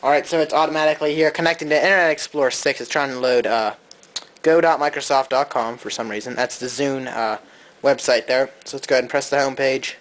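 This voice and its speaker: Bright-voiced man reviewing gadgets casually. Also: mid-range voice, fairly even intonation, fast talker. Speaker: co-host, tech reviewer.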